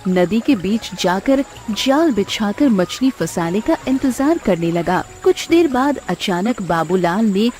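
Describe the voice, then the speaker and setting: A woman's voice: medium pitch, expressive, unhurried, hardly any pauses, a little mellow. A woman reading scripted narration for a children's cartoon story.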